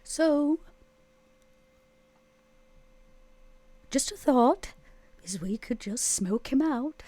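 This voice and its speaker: A woman voicing a sly, coolly scheming vampire in a tabletop roleplay game, measured and arch with hesitant pauses. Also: high voice, animated, warm tone, no room sound, heavily acted. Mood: tentative, sly.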